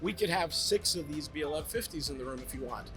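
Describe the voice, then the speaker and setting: Brief: eager salesman type, man, high bright voice, demoing gear in a show room with faint music behind. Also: fast. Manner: eager sales pitch, lively explaining. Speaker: brand representative demonstrating home theater gear. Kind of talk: product demo talk. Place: demo room at a trade show.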